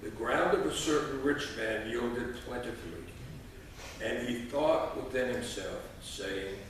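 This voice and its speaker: Man, sixties, folksy church lay reader, mid-pitched, halting delivery, distant in a very reverberant sanctuary. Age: 60s. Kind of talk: informal church address and scripture reading. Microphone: distant camera microphone. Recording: echoey church, distant mic, noisy.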